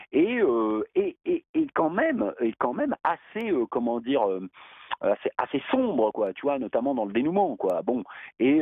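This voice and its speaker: A man's voice: fairly low pitch, husky, no echo. A man talking loosely about a film, full of hesitations and fillers. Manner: rambling, hesitant, many fillers. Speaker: guest. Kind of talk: casual film discussion. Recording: tinny dry phone line.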